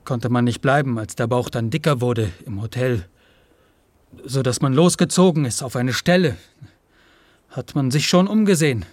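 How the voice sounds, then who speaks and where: An actor in a radio play voicing an eager working-class man who justifies himself in halting, rambling colloquial speech. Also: mid-range voice, a little mellow, no room sound, lightly acted. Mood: brooding. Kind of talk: radio play monologue and dialogue.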